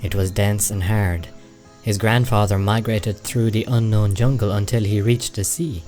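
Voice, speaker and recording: A man acting in a radio play as a dry, measured, contemplative imp who wryly watches humanity. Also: fairly low pitch, fairly even intonation, a little mellow, soft background music, close to an everyday voice. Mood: philosophical, measured. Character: wry, philosophical non-human imp, calm male observer of humanity. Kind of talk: radio drama dialogue.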